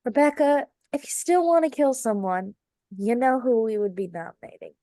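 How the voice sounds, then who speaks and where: A woman's voice: fairly high pitch, animated, normal speed, mellow, close to an everyday voice. A woman playing a catty, snide young Southern belle beauty queen at a script table read. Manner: snide, two-faced.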